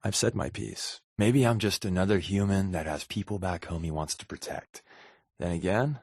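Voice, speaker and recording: A wry, secretly wounded undercover agent from a video game. His voice is a lowish man's, husky and recorded close and dry. He talks conversationally, with deadpan sarcasm. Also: normal speed, no echo, heavily acted. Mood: earnest, reflective. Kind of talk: video game companion dialogue.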